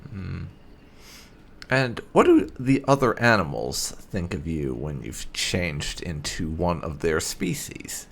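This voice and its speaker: A man's voice: low voice, expressive, slow pace, creaky, fairly bright tone, slightly rough. A man giving casual game playthrough commentary with light roleplay, musing aloud and reacting as the host. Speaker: gaming channel host.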